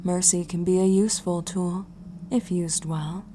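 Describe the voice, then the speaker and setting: Woman as villainous mercenary leader, slow measured delivery, dark mellow tone, condescending, coldly polite, menacing, audio drama. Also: fairly low pitch, fairly even intonation, a little hiss or hum, lightly acted. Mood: cold menace. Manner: deliberate, condescending, breathy at times. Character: cold, menacing female mercenary boss, mockingly polite.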